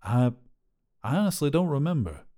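A man's voice: mid-range voice, big pitch swings, moderate pace, warm tone, pitched above the performer's own voice, lightly acted. A man voicing a young drifter, dazed and haunted, who speaks in a hesitant, stammering way.

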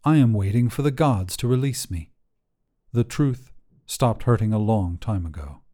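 A man narrating a literary story as a weary, desolate immortal guardian, in a solemn, measured, brooding manner. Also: fairly low pitch, animated, mellow. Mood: bitter, weary. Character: weary, desolate immortal guardian, forsaken by gods, telling their tale.

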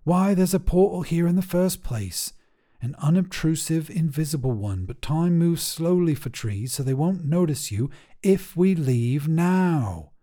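A man's voice: on the high side, animated, a little slow, dark tone, voice pushed up. A man voicing a brusque, bossy female space rescuer in a story, with an urgent, commanding manner. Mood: hushed urgency.

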